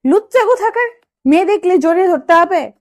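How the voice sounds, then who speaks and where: A woman's voice: very high-pitched, animated, brisk, pitched above the performer's own voice. A woman voicing a feisty, brash young female university student, scolding sharply in a romantic audio story. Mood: indignant.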